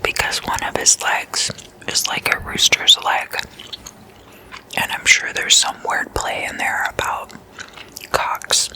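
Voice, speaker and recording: An ASMR host whispering in an intimate slumber-party chat, addressing listeners as guests and softly repeating words. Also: takes it slowly, pauses often. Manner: repeats single words in soft trailing echoes.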